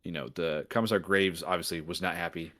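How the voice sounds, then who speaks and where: Deep-voiced man chatting casually. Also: steady tone, a little fast, fairly bright tone. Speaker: podcast co-host. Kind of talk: casual chat.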